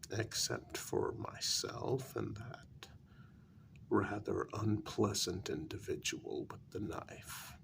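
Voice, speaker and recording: Weary ancient male vampire, played by a man, gravelly soft ASMR delivery, polite menace, faint rumbling noise behind. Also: heavily acted. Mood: wry, reflective. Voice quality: breathy, half-whispered, gravelly. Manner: deliberate, halting, formally polite menace. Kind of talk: soft-spoken ASMR role-play.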